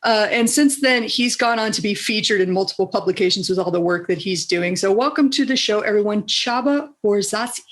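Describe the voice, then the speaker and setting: A woman's voice: medium pitch, fairly quick, hardly any pauses. A woman from the Southern United States hosting a podcast and interviewing a guest in an enthusiastic, chatty way. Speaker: podcast host, coach. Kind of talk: casual interview talk.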